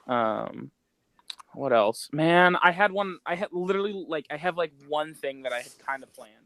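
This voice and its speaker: A man co-hosting a podcast in casual, slangy chat, rambling as he thinks out loud. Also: on the high side, animated, moderate pace, no echo. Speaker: podcast co-host.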